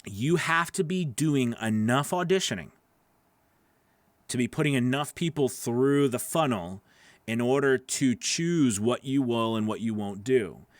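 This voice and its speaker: A man's voice: medium pitch, measured pace, no room sound. A man in his thirties with a nasal voice, chatting casually through a live Q&A from his home studio, repeating words and reading viewer questions aloud. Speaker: voice actor hosting a live Q&A. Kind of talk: casual livestream Q&A. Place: small home studio, indoors. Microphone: large studio microphone on boom arm.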